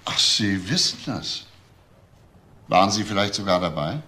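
Methodical detective, low voice, persistent questioning, crime radio drama, faint noise. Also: male voice, lively intonation, a little slow, bright, forceful tone, full character voice. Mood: measured, probing. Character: methodical, probing male police detective.

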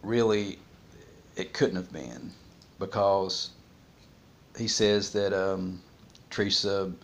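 A man in his forties talking casually and unscripted, haltingly thinking aloud, on a home vlog. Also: deep voice, fairly even intonation. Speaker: vlogger discussing a criminal case. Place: small room at home, indoors.

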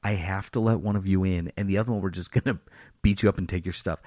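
A man's voice: sits low, fairly even intonation, quick pace, dark tone, no echo, clean studio sound. A man co-hosting a gaming podcast, chatting casually and riffing jokes. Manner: joking, riffing. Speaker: podcast co-host. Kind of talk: casual comedic chat about video games.

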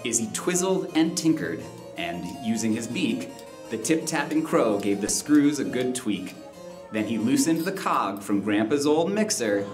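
Guy reading a rhyming kids story live, expressive, echoey room, guitar behind. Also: medium pitch, a little slow. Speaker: narrator. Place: live stage in a library room. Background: guitar music.

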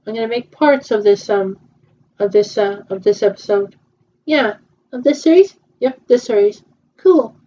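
A woman in her twenties with a fairly high, mellow voice. She talks haltingly, stopping and restarting with fillers. The sound is muffled and lo-fi, like a cheap home recording. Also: slight background noise. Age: 20s. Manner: hesitant, halting, many repeats and fillers. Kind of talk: improvised fan roleplay dialogue.

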